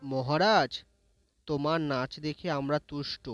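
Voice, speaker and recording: A man playing a calm, reassuring trickster musician in an audio story. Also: fairly high pitch, slow pace. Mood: calm assurance. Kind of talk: audio story character acting. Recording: loud music over a quieter voice.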